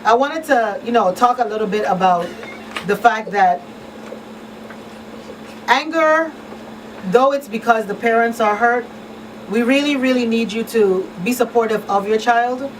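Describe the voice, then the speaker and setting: A woman's voice: on the high side, unhurried, long pauses. A middle-aged woman hosting a conversational radio talk show, speaking earnestly and repeating phrases for emphasis. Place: small radio studio. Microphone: boom-arm studio microphone. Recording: distant mic, faint room noise.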